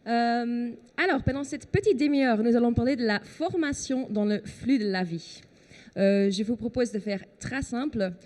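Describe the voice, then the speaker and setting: A woman's voice: a little high, moderate pace, a little mellow. A Dutch woman speaking French with a Dutch accent, giving a conference talk on a trade show stage and often correcting herself. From Netherlands. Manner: explanatory, with many fillers and self-corrections.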